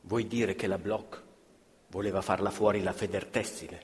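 A man's voice: deep voice, steady tone, darkish tone. Earnest male storyteller in echoing hall. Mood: probing. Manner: measured, declarative, repetitive cadence. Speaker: stage actor, narrator and questioner. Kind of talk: theatrical storytelling and declamation. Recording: reverberant theatre hall, music playing along. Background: live violin music.

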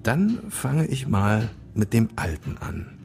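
An actor playing a shrewd older police commissioner with a gravelly voice in a radio crime play. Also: male voice, fairly low pitch, sing-song, slow pace, darkish tone, heavily acted. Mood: dry briefing.

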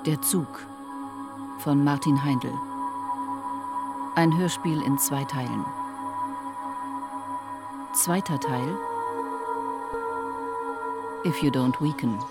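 Slow female announcer over loud music. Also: low-pitched. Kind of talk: scripted title announcement. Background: loud ambient music.

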